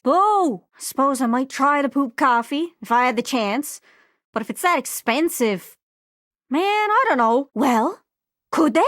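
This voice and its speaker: A very high, swooping young voice for a video game globe come to life. She is excitable and sweet, chatting and gushing in a goofy, self-deprecating way. The sound is close and dry. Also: dry recording, exaggerated voice. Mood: curious, amused. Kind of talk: video game character voice acting.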